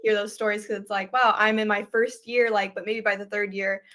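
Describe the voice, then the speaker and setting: A woman's voice: medium pitch, flat delivery, fairly quick, fairly bright tone. A woman hosting a live webinar in an upbeat, casual way, using "like" a lot. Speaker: host.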